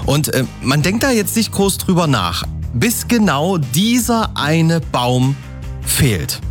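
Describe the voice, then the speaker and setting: A man's voice: on the high side, very animated, slow pace, faint music behind. A man presenting on the radio in an upbeat, chatty way. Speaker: radio host.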